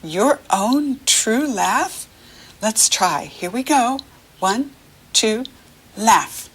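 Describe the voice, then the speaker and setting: A middle-aged American woman leading a read-along lesson for young children, encouraging and teacherly, pausing for the child to answer. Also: on the high side, expressive, slightly rough.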